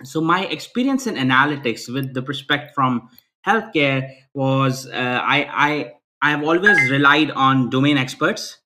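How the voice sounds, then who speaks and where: A man's voice: mid-range voice, unhurried, bright, forceful tone, a little hiss or hum. A man, answering interview questions earnestly over a video call, restarting phrases often and ending points with "right?". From Pakistan. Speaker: guest.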